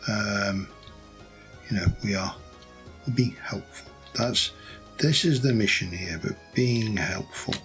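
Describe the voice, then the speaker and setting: A man with a hoarse, croaky voice, rambling and thinking aloud as he plays and chats through a building game. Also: on the low side, slow, long pauses, a bit bright, faint music behind. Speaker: let's play host. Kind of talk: casual gameplay commentary.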